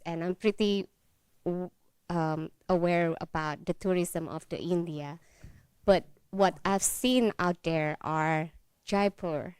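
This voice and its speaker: Halting woman from Indonesia, smooth voice. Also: fairly low pitch, dry recording. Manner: earnest, halting, searching for words. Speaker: travel content creator. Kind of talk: panel comment.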